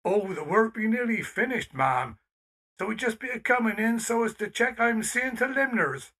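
A man's voice: high-pitched, lively intonation, moderate pace, sharp tone, breathy edge, heavily acted. A man playing a deferential, chatty rustic foreman with a West Country accent in an audio drama. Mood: eager, respectful. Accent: English West Country. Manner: folksy, rambling.

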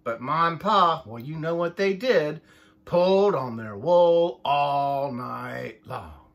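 A man's voice: a little high, expressive, slow pace, vocal fry. A middle-aged man reading a children's storybook aloud with playful, folksy asides. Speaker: storybook reader. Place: small furnished room indoors.

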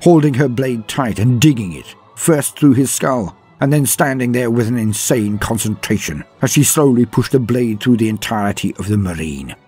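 A man narrating a scripted story in a dramatic, grave, measured manner with pauses for effect, his voice husky. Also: medium pitch, a little mellow. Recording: music behind the voice. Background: background music.

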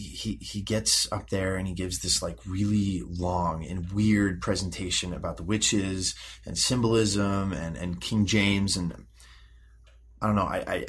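An actor playing a defensive, moody sixteen-year-old American high school boy in an audio drama, halting and slangy. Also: fairly bright tone, breathy edge, heavily acted. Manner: halting, repeating words, slangy teenage speech. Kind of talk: naturalistic audio drama dialogue.

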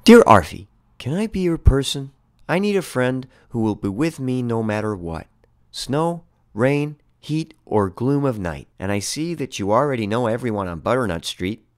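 A man's voice: medium pitch, very animated, moderate pace, natural voice. A man voicing an affectionate, earnest woman letter carrier in a storybook read-aloud. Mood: tender, hopeful.

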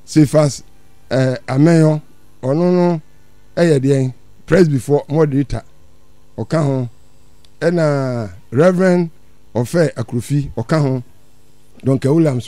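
An older man with a Ghanaian accent delivers an impassioned prophetic sermon. His voice sits high and moves widely in pitch as he denounces and repeats phrases for emphasis. The sound is fairly dry, with faint music behind him. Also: a little mellow, dry recording. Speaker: preacher.

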